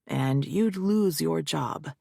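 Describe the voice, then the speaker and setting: A cold, needling male defense lawyer, read by a woman who grinds out every question in a low, gravelly voice. The sound is close and bone-dry, as in a studio audiobook reading. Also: slow pace, warm tone, no echo, full character voice. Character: aggressive male defense lawyer cross-examining.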